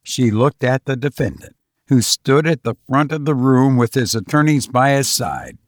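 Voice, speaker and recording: A man reading scripted audiobook narration in a measured, deliberate manner. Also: mid-range voice, lively intonation, no echo, studio quality.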